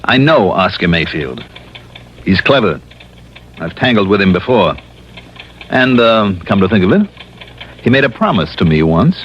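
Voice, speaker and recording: An actor playing a tough, wry American insurance investigator in 1950s radio drama style, with a clipped, dry, probing delivery. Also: male voice, fairly low pitch, big pitch swings, normal speed, long pauses. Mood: serious, probing. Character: tough, wry male insurance investigator, sharp and self-assured. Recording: muffled, hissy old radio recording.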